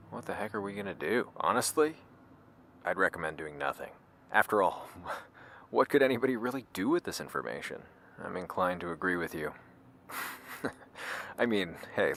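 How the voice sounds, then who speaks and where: A laid-back wry guy chatting in an audio drama, deep croaky voice, bit hesitant, faint room noise. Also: a bit bright, exaggerated voice. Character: easygoing, slacker-ish man meeting his identical double. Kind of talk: casual conversational audio drama dialogue.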